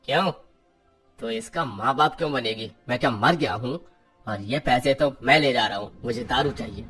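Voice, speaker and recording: A man's voice: mid-range voice, normal speed, a bit bright, lightly acted. Casting: man playing a drunken, domineering young cartoon husband, harsh and scornful, music underneath. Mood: aggressive, demanding. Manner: harsh, scolding, contemptuous.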